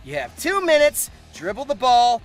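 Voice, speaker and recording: A man's voice: extremely high pitch, wildly swooping pitch, moderate pace, soft background music. A man hosting a scripted comedic review, narrating theatrically with exaggerated emphasis. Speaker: host.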